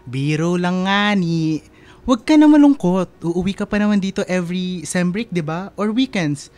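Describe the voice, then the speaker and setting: Casting: actor for playful young male best friend, radio drama, medium pitch, teasing and exclamatory banter. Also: animated, moderate pace, a little mellow, natural voice. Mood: teasing, upbeat.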